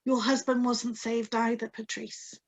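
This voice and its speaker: A woman's voice: on the high side, little pitch movement, a little slow, breathy edge, lo-fi sound. A woman playing a kindly, careful hospital nurse in a radio drama read over a video call. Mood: careful, sympathetic. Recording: live video call reading.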